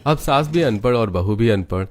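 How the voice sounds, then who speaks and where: A man's voice: mid-range voice, lively intonation, normal speed, slight background noise. A man reading scripted narration for a moral story.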